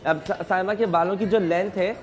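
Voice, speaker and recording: A man's voice: sits high, steady tone, moderate pace. A man explaining things casually as a hair stylist on a live show. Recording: noisy studio with crowd behind. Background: crowd and noise.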